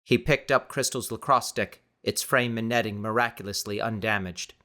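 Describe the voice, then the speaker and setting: A man reading dramatic scripted audiobook narration in a measured, suspenseful manner. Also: fairly low pitch, steady tone, bright, forceful tone.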